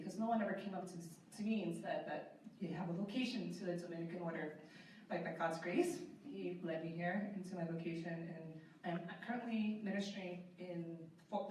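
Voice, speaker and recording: A woman's voice: fairly low pitch, brisk, a little mellow, slight background noise. A religious sister in her thirties introducing herself on a panel in a casual way, with many fillers. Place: large echoey conference hall. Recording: echoey hall, distant mic.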